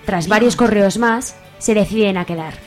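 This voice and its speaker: A woman's voice: mid-range voice, moderate pace, a little mellow, faint music behind. A woman with a Spanish accent, reading scripted narration for a radio soap opera.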